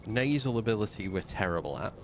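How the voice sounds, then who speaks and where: A man's voice: lowish voice, animated, background noise. A man streaming a game, talking through his building plans, snuffly from a slight cold. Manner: slow, muttering to himself while building. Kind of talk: casual gameplay commentary.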